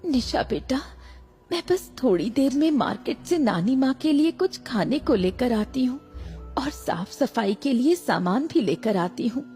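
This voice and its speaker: A woman playing a caring, remorseful young mother in a cartoon horror story, with an earnest, gentle, emotional delivery. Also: sits high, lively intonation, measured pace. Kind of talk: animated horror story acting. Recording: background music.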